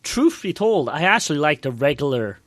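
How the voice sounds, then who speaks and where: A man's voice: a little high, big pitch swings, fairly quick, a bit bright, no echo. A man chatting casually as a podcast co-host, enthusiastically recounting an experience in a rambling way, often repeating his words.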